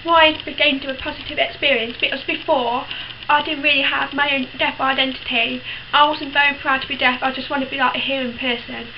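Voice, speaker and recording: High-voiced chatty young woman. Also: fairly even intonation, brisk, hardly any pauses. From United Kingdom. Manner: chatty, frank, many fillers and restarts. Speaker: vlogger. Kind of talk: casual talk to camera. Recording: dull lo-fi sound with clear background hiss.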